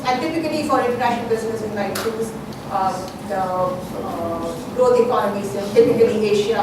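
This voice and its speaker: A woman lecturer giving an informal conference presentation in a conversational, explanatory way, often saying "you know". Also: fairly high pitch. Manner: conversational, explanatory, frequent 'you know'. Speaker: college business lecturer presenting. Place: large echoey room, recorded from afar. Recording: echoey room, distant mic, noisy.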